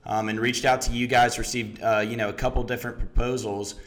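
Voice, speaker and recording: A man from Houston speaks as a guest on a talk show, recounting how his business began. He talks in an easygoing way, leaning on filler words as he goes. His voice sits at an ordinary middle pitch. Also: a little fast. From Houston, Texas. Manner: relaxed, many "you know" and "um" fillers. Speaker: startup founder, guest. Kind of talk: casual interview storytelling.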